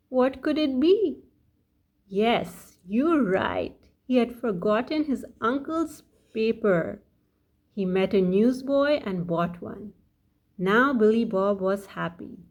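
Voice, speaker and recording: Female children's storyteller, unhurried delivery, sing-song emphasis, talks straight to the young listener. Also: a little high. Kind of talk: scripted story read aloud for young children, asking the listener questions.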